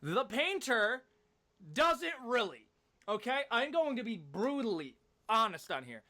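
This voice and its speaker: Animated, high-voiced man giving opinions. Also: unhurried. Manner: slangy, emphatic, conversational. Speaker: video commentator. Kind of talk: casual opinionated video commentary.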